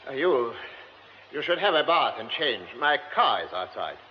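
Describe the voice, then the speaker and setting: An actor playing a formal, insistent gentleman who speaks with hesitant stammers. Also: medium pitch, sing-song, moderate pace, natural voice. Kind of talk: radio drama acting. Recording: dull old radio recording with hiss.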